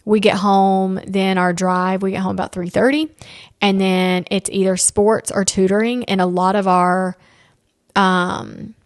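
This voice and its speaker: A woman's voice: medium pitch, little pitch movement, measured pace, no echo. A woman in her thirties hosting a casual solo podcast, chatty and candid, with playful asides. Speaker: podcast host.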